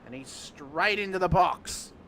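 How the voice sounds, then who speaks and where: A man giving live commentary on a sim race, cheeky and quick to crack jokes. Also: high-pitched, bright, forceful tone. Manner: cheeky, joking, quick to laugh. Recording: engine noise under the voice.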